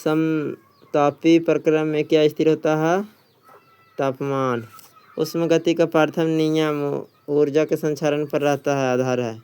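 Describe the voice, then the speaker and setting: Flat-toned man reading quiz questions. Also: on the high side, takes it slowly, smooth. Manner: instructional, often repeats questions and answers. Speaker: exam coach.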